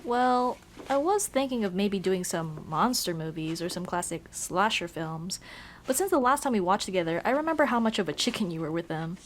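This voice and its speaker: A woman's voice: medium pitch, sing-song, normal speed, slight background noise, natural voice. A woman playing a young tomboy childhood friend, casual, teasing and caring, in a scripted ASMR roleplay. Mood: playful. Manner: casual, teasing, addresses listener as dude. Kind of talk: ASMR roleplay, scripted one-sided conversation.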